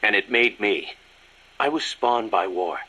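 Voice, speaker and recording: A man's voice: fairly low pitch, animated, measured pace, pushed and bright, slightly rough, exaggerated voice, poor audio. A man playing a proud, loyal ex-soldier second-in-command, speaking earnestly and reflectively in a game audio drama. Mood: reflective, resolute.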